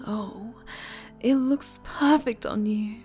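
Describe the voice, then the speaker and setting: A woman playing a sinister, obsessively doting dark-angel superhero in an intimate ASMR roleplay, cooing and whispering with sweet menace. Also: a little high, slow pace, faint music behind. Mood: cooing, condescending.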